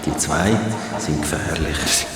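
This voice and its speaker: A man's voice: extremely low pitch, slow pace, crowd noise, full character voice. A man playing a grave, menacing ruler of a strange otherworld in a Swiss German children's radio play. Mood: grave, ominous. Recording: long echoing hall, loud music.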